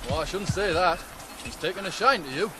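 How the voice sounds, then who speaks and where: Man as high-voiced blunt ploughman. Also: fairly quick, over music, natural voice. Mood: easygoing. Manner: hearty, swearing, calls out to horses. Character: blunt, grumbling, philosophical male farm ploughman. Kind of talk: radio drama acting.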